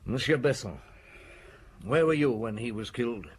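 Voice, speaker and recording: An actor playing a blunt, probing middle-aged police inspector in a radio drama. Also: male voice, medium pitch, expressive, normal speed, slightly rough. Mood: stern.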